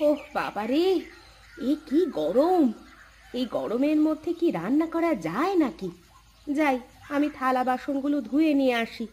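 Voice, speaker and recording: A woman voicing a farmer's wife in a cartoon, homely and expressive. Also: sits high, unhurried. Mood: complaining. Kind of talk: cartoon voice acting.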